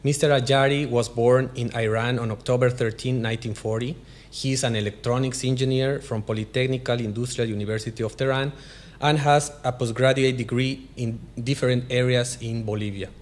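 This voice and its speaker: A man's voice: mid-range voice, steady tone, brisk. A man formally introducing a guest lecturer, reading from notes. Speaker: presenter introducing the speaker.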